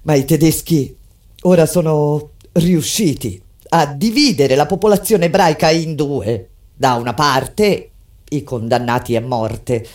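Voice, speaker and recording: An actress playing a grave resistance fighter, delivering a solemn, declamatory theatrical monologue as a recollection of an uprising. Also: female voice, deep voice, unhurried, darkish tone. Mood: hushed, bleak. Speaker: stage actress narrating. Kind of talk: dramatic theatrical monologue with live music. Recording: music under the voice. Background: music.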